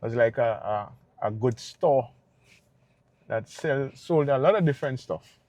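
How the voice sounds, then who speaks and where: Tobago guy vlogging, easygoing and rambly, keeps saying right?, middle pitch. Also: a little slow, no room sound. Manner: relaxed and rambling, checks in with "right?". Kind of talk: casual vlog talk to viewers.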